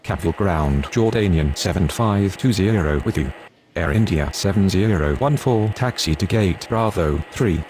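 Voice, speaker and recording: Low-voiced actor as male airport radio operator. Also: lively intonation, normal speed, a little mellow, slight background noise. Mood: businesslike. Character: male pilot and controller on airport radio, businesslike. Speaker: air traffic radio voice in a mobile game. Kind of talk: clipped aviation radio phraseology.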